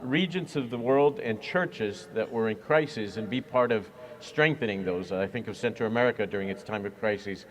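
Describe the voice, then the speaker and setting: A man's voice: lowish voice, normal speed, hardly any pauses. A man who is a church minister, talking earnestly and reflectively in an interview, with frequent fillers. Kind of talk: conversational interview.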